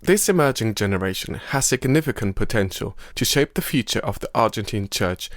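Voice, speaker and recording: A man hosting a podcast, reading scripted narration in a measured way. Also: on the low side, lively intonation, dry recording. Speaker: podcast host. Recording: dry studio voice-over with music.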